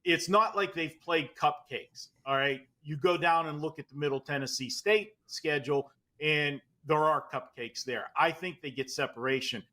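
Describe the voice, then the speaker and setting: A man's voice: fairly high pitch, normal speed, bright, forceful tone. A man from Pittsburgh with a Pittsburgh accent, a guest handicapper giving confident, matter-of-fact betting analysis.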